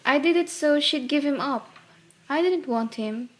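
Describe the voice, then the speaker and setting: A woman's voice: high-pitched, a little slow. An actress playing a tender, secretive, wistful lady in a radio play. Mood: pleading, guilty.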